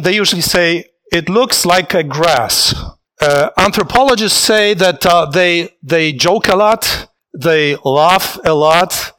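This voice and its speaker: Earnest preacher. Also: male voice, sits high, sharp tone. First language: Polish. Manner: earnest, reflective, halting with restarts. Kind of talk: sermon.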